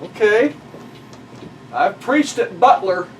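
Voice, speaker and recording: Slow-talking pastor with a high voice. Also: male voice, animated. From southeast Missouri. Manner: unhurried, friendly storytelling. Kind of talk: casual conversation. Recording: distant mic with faint background noise.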